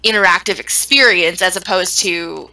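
Bright, animated woman chatting about games. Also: lowish voice, normal speed, rough recording. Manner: animated, rambling, sweary. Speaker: gaming stream panelist. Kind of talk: casual game discussion.

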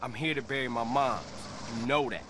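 An actor playing a weary, defensive young gangster in a video game, speaking curtly and warily in street slang. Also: male voice, mid-range voice, a little fast, close to an everyday voice. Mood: flat, guarded. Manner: curt, wary, street slang. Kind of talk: video game cutscene acting. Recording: game audio with street and vehicle noise.

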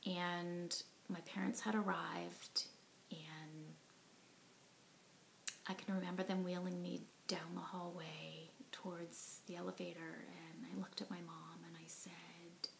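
A woman in her forties speaks straight to a camera close in front of her, earnest and reflective, her voice sitting a little low with a gravelly edge. She often drops to a soft, breathy tone and fills pauses with ums and you knows. A faint hiss runs under the recording. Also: flat delivery, low-quality recording. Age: 40s. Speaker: heart attack survivor telling her story. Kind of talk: personal testimony to camera. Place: living room at home, indoors. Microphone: device camera at arm's length, sitting close.

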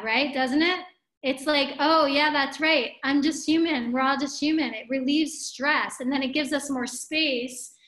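A woman's voice: high voice, fairly bright tone. A woman in her forties giving a spiritual webinar from her living room over a webcam, thinking aloud and gesturing as she speaks. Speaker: spiritual teacher. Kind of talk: webinar talk with viewers. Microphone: webcam on video call. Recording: roomy webcam audio.

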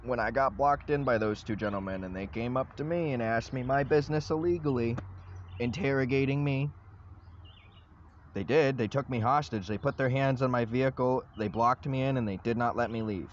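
A young man ranting loudly and rambling into his phone from inside a parked vehicle. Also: medium pitch, moderate pace, lo-fi sound, slight background noise. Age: young adult. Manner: loud, agitated, rambling rant. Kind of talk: ranting selfie vlog. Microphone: phone at arm's length.

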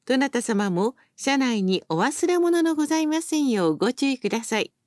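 A woman's voice: sits high, big pitch swings, a little fast, a little mellow, no room sound, clean studio sound. A woman reading scripted bus announcements as a recorded announcer, in a formal, polite and carefully enunciated manner.

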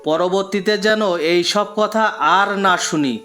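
A man's voice: sits high, unhurried, music in the background. A man voicing a stern, weary father in a cartoon drama. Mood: stern, hurt. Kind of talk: cartoon voice acting.

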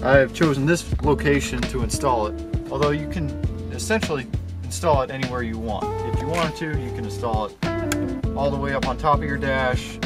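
A man's voice: medium pitch, brisk. A man in his twenties giving a casual how-to explanation to his viewers. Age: 20s. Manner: casual, addresses viewers as guys. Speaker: host. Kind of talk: how-to vlog, explanatory talk to camera. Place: outdoors by and inside a parked or moving car. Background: music.

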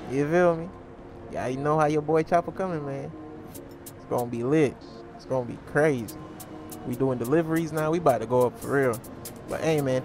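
A man giving casual, slang-heavy commentary while playing a crime role-play game, calling his viewers gang. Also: medium pitch. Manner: slangy, laid-back, calls viewers gang. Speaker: gaming YouTuber playing a role-play series.

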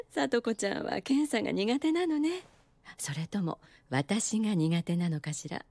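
Anime nurse: grown woman, gentle and coy, breathy edge, expressive inflection, dry sound. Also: fairly high pitch, slow pace, long pauses, no room sound, heavily acted. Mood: gently teasing. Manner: gentle, coy, feminine phrasing. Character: composed adult nurse, softly teasing, wistful. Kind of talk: anime drama dialogue.

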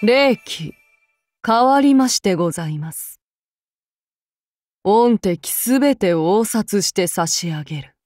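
An actress voicing a calm, menacing samurai woman of demon blood, speaking in formal archaic phrasing to her master. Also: medium pitch, slow pace, pauses often, no room sound, studio quality, faint music behind. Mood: devoted. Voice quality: formal, archaic courtly speech. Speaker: game character voice actress. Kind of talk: game character voice lines.

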